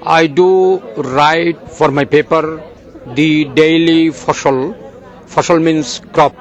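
An older man from Bangladesh answers interview questions outdoors with slow, earnest conviction. His voice sits a little high for a man, and he lingers on words as if preaching. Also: fairly even intonation, lo-fi sound, a faint crowd. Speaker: agricultural journalist, guest.

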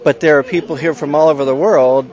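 A man's voice: fairly high pitch, steady tone, quick pace, darkish tone. Friendly male interviewer, muffled sound. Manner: friendly, agreeing, many "mm-hmm"s. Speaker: interviewer, agricultural journalist. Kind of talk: conversational interview.

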